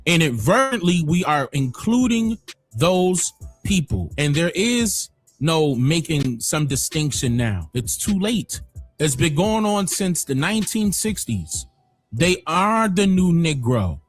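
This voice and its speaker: A man hosting a livestream, giving emphatic opinionated commentary that swings from loud exclamations to low muttering. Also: very animated, takes it slowly, soft background music. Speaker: livestream host.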